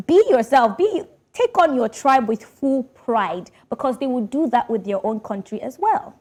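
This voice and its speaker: A woman's voice: on the high side, lively intonation, moderate pace, dark tone. A woman, an entertainment presenter speaking passionately and persuasively on a panel. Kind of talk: opinionated panel discussion.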